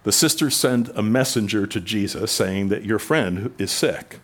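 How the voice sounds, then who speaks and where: A man preaching a sermon in a conversational way, rising in pitch and volume for emphasis. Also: measured pace, a bit bright. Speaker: pastor.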